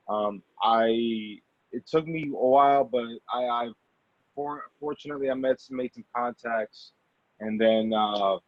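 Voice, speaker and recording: A man's voice: fairly low pitch, dry recording. A man speaking as a podcast guest in casual interview talk, earnest and hesitant, often repeating his words. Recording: slightly dull, dry lo-fi audio.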